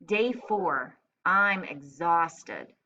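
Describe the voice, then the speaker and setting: A woman voicing a grumpy, sarcastic, indignant pet parrot in a children's storybook diary, with deadpan, exasperated complaining. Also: fairly low pitch, drawn-out words, dull audio, exaggerated voice. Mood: deadpan, weary. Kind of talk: children's storybook read-aloud in diary form.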